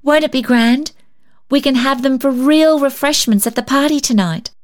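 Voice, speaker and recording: A high, eager little girl from a storybook, played by a woman reader. She is cheerful and tender-hearted. She speaks in earnest, excited exclamations. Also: moderate pace, natural voice. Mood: delighted.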